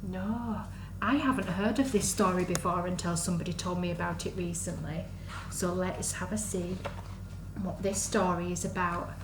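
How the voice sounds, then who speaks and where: A woman reading a story to young children in an animated, sing-song, exaggerated way, as a storyteller for under-fives. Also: on the low side, moderate pace. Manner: sing-song, exaggerated, asks the listeners questions. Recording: echoey room, faint background noise.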